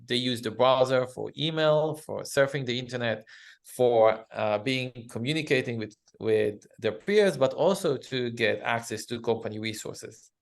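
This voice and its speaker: Israeli-accented man, halting interview answers. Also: medium pitch. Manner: halting, many "uh" fillers, non-native phrasing. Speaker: guest, cybersecurity company CEO. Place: office.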